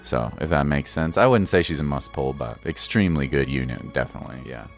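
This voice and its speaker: A man's voice: extremely low pitch, fairly quick, faint music behind. A man streaming a game and chatting casually with viewers, slangy and reassuring, repeating his points for emphasis. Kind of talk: casual livestream chat with viewers.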